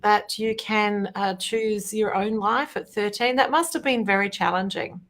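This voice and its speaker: A woman's voice: mid-range voice, measured pace, a bit bright, a little hiss or hum. A woman hosting an interview, asking questions in an encouraging, inquisitive way. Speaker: interview host.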